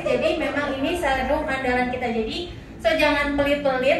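A high-voiced woman hosts a small clinic event, talking in a chatty way with frequent fillers. She is heard from a distance in a very echoey room, with light noise behind her. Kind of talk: event hosting with mic. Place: clinic showroom, indoors. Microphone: handheld mic, far camera.

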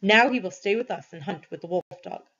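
Lady reading as big gruff-tempered hunt leader guy from a storybook, curt and bossy, sound a bit dull. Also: medium pitch, a little fast, a bit bright, natural voice. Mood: commanding. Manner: commanding, curt.

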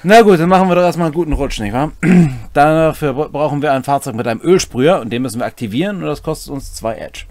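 A man's voice: a little high, animated, fast talker, hardly any pauses, darkish tone, no echo. A middle-aged man casually explaining tabletop game rules, with playful, wry asides. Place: small indoor home room.

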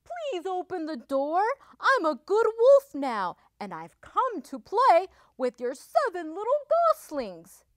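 A woman's voice: sits high, big pitch swings, slow, a bit bright, no room sound, clean studio sound, heavily acted. A woman voicing a lonely, polite wolf in a children's story who earnestly tries to be good. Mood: sweetly polite.

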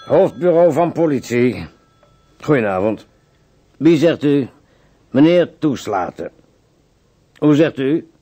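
An actor playing a calm, reassuring police desk officer taking a phone call in a radio drama. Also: male voice, medium pitch, slow pace, pauses often, husky, exaggerated voice. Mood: calm, attentive.

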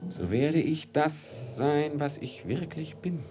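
An actor playing a proud, anxious captive merchant in a 1950s radio drama, speaking gravely and earnestly. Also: male voice, on the high side, slow pace, mellow, soft background music. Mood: grave, brooding.

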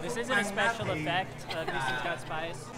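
A man's voice: sits high, expressive, normal speed. A young man co-hosting a food vlog, explaining and chatting casually. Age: young adult. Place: outdoors at a market. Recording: busy outdoor market crowd.

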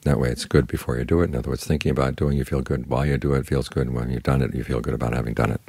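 A man giving a short spoken meditation talk as a Buddhist teacher, calm, instructive and plain-spoken. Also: very deep voice, racing, vocal fry, husky.

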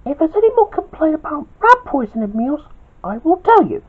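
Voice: high-pitched, sing-song, normal speed, pitched above the performer's own voice. An amateur storyteller voicing a stern, bossy female restaurant boss who scolds in exasperation. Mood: firm. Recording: dull, lo-fi home recording.

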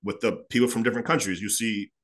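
Man, 30s, from Chicago; low-ish, rapid, animated interview guest on a video call. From Chicago, United States. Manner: fast, animated, gestures a lot while talking. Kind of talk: casual storytelling interview. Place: small room at home.

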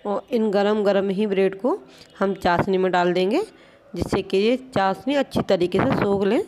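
A woman's voice: medium pitch, moderate pace, darkish tone. Woman patiently explaining a home recipe. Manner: patient, explanatory, keeps pointing things out. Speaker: home cooking channel host. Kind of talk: step-by-step cooking instruction. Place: home kitchen at a gas stove.

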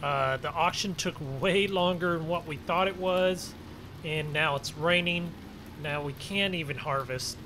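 Slow-talking man over vehicle noise. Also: a little high. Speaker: gaming video host. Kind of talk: casual role-play commentary over a farming game. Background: game vehicle and ambience sounds.

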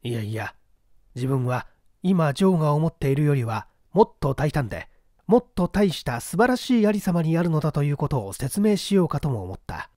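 Male audiobook narrator, dramatic literary mystery reading, expressive pitch movement, dry sound. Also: fairly quick, no room sound.

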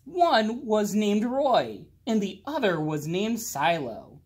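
Male voice, high for a man, husky, very lively intonation, unhurried picture-book read-aloud. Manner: slow, animated, with drawn-out words and pauses. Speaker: children's storybook reader. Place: indoors, library with bookshelves.

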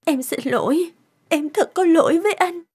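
A woman's voice: very high-pitched, normal speed, a little mellow. A woman voicing a sweet, evasive young wife in a cartoon. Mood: apologetic. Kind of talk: Vietnamese cartoon dubbing.

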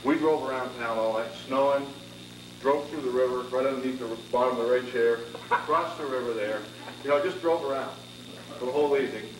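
A man recalls old times in an easygoing, rambling way. His voice sits in a middle pitch and sounds distant in an echoey room. Steady background noise runs under his voice. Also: fairly quick. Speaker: panelist. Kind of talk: casual storytelling. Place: indoor panel room.

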